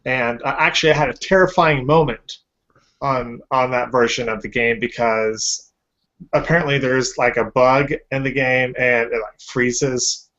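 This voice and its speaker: A man's voice: medium pitch, fairly even intonation, measured pace, fairly bright tone. A man hosting a casual podcast, chatty and upbeat, with fillers. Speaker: podcast host. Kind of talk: casual chat. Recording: lo-fi podcast audio.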